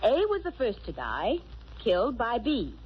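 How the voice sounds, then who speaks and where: An actress playing a brisk, wry, loyal detective's assistant, in 1940s radio drama style. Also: female voice, fairly high pitch, wildly swooping pitch. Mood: deliberate, triumphant. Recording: noisy, muffled old radio recording.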